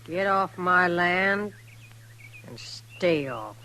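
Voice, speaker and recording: A woman's voice: lowish voice, lively intonation, extremely slow, sharp tone, full character voice. An actress playing a hostile, hardened frontier homesteader woman, curt and defiant, in an old radio western. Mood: hard, hostile. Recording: 1950s radio recording, faint noise.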